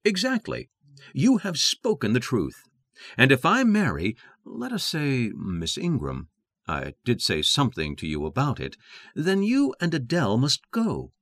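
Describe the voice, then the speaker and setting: An actor playing a brooding, passionate gentleman in love, with theatrical, intense delivery in a dramatized classic novel. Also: mid-range voice, huge pitch swings, measured pace, dry recording, clean studio sound, heavily acted. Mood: grave, teasing.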